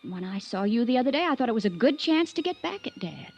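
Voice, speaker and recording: A woman's voice: sits high, very animated, fast, a little mellow, faint music behind, full character voice. An actress playing a troubled, lovelorn young woman from a wealthy family in a 1950s radio drama, confiding wistfully and hesitantly. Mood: guarded, bitter. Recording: muffled vintage radio recording.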